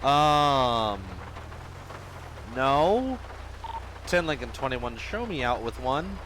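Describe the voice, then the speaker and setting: A man hosting a police game video, talking casually over the gameplay while he is sick with a cold, and shouting commands at suspects during stops. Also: medium pitch, sing-song, drawn-out words, slight background noise. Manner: barks loud commands at suspects.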